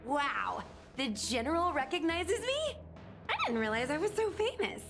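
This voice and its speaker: Bubbly street performer. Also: female voice, high voice, moderate pace. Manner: bubbly, eager, expressive. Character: cheerful young female street performer. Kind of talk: game cutscene voice acting. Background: game score music.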